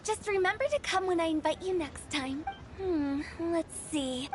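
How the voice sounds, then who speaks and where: A woman's voice: very high-pitched, moderate pace. A woman voicing a playful, mischievous young female host in a video game, speaking with breezy theatrical flair. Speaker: host of the gathering. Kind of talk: video game character acting.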